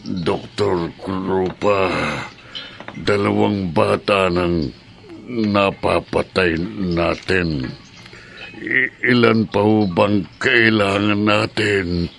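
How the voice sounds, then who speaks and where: A servile henchman in a radio horror drama. The voice is low and creaky, with a hard edge. He speaks very slowly and haltingly, always deferring to his evil master. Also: fairly bright tone, heavily acted. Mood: uneasy.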